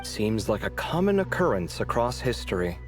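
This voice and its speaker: A woman playing a sardonic, inquisitive young adventurer in a video game, with a dry, expressive delivery. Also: rumbling low, normal speed, over music. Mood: curious. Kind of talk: video game character dialogue.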